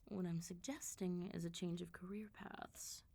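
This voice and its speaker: A woman voicing a calm, flirtatious, quietly threatening female vampire mob boss in a soft ASMR roleplay. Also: lowish voice, measured pace. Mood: calm, menacing. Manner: controlled, smooth-talking, teasing, quietly threatening.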